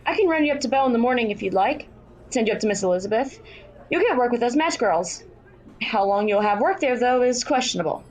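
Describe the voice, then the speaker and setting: A woman's voice: on the high side, animated, fast talker, slight background noise, natural voice, poor audio. A woman playing a frank, friendly and worldly young match factory girl in an audio drama.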